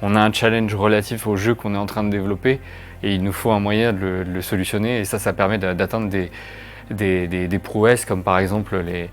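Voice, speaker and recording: A man's voice: fairly low pitch, flat delivery, brisk. A man speaking as a game developer in an explanatory interview, with thoughtful, hesitant phrasing.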